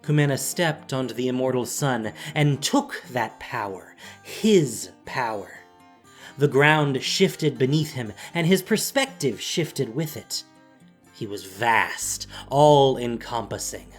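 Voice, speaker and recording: A male narrator reads scripted fantasy with drama. His delivery is slow and deliberate, with weighty pauses, at a medium pitch. Soft music plays faintly behind him. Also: animated.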